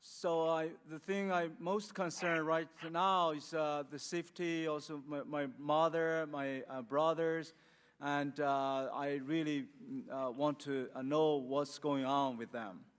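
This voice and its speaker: A man with a Chinese accent interpreting live in a halting, hesitant way in a hearing room. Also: on the high side, flat delivery, smooth, long reverb tail. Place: large indoor hearing room.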